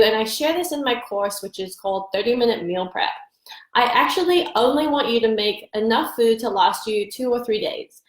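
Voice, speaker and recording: A congested woman with a stuffy nose talks on a live stream, upbeat and chatty as she gives food tips. Her voice sits a bit high, and the room around her rings with echo. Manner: upbeat, chatty, greets viewers by name. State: congested from allergies. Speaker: nutrition coach hosting a live stream. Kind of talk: casual live-stream advice. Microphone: phone or webcam for a live stream.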